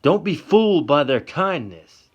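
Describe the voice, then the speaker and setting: A man voicing a protective, hot-tempered young man in a told story, speaking forcefully. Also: on the high side, very animated, unhurried, husky, muffled recording, pitched above the performer's own voice, exaggerated voice. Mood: angry. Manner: forceful, yelling.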